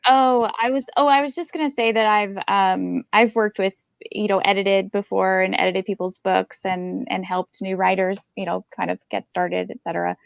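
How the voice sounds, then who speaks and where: Woman podcast cohost, gravelly fry, dull lofi mic, casual n encouraging, emphatic. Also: mid-range voice, steady tone, moderate pace, dry recording. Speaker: podcast co-host and book editor. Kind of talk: casual conversational discussion.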